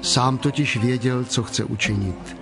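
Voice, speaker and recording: A man narrating scripture as a measured storyteller from a script. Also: mid-range voice. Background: prominent music.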